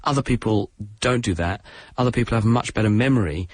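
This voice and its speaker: A man with a southern English accent explaining science on a radio call-in show, relaxed and joking at his own expense. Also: lowish voice, fairly quick, no room sound. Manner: relaxed explainer, self-deprecating jokes. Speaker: science expert guest on radio.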